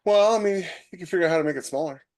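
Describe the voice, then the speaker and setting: A man speaking as a podcast co-host in casual chat, halting as he thinks aloud. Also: high-pitched, darkish tone. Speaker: podcast co-host, science fiction author. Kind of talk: casual chat about sci-fi writing.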